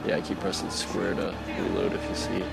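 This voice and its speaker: Man, low gravelly voice, casual gameplay commentary, self-deprecating, game music behind. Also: flat delivery, fairly quick. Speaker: let's play host. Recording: lo-fi home recording over game audio. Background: game music and sounds.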